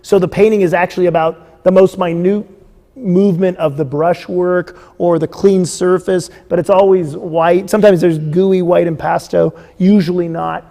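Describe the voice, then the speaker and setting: A middle-aged man hosting a casual interview, telling stories in an animated way, recorded in a large bare studio room. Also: high-pitched, moderate pace, gravelly. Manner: animated storytelling with lively gestures. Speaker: host. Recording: long reverb of a big room.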